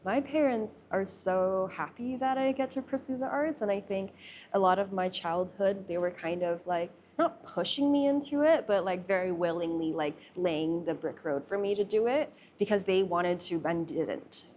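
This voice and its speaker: A woman's voice: mid-range voice, moderate pace, a little mellow, slight background noise. A young woman from Taiwan, a sound artist, chatting casually about her life with many fillers. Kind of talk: casual conversation.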